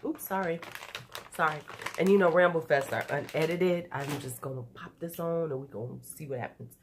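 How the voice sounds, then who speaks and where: Woman, 40s, stuffy nasal voice, losing her voice, slightly low pitch, chatty rambling beauty vlogger at home. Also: moderate pace, a little hiss or hum. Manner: chatty, laughing, drifting off topic. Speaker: beauty YouTuber. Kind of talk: unedited rambling vlog.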